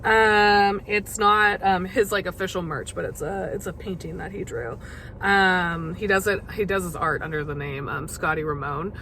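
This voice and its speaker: Young woman with a gravelly, fading voice. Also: on the low side, normal speed, pushed and bright, slight background noise. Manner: chatty, many fillers and restarts. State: losing her voice, gravelly. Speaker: podcast host. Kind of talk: casual solo podcast monologue.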